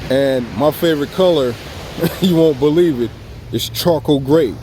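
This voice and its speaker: A man from Southern California with a Southern Californian accent, filming himself outdoors by the beach and talking casually in a relaxed, rambling way that circles back on itself. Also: a little high, a little slow, a little hiss or hum. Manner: relaxed, rambling, repeats himself, chuckles often. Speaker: vlogger. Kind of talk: casual tribute vlog. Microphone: phone or camera filming himself.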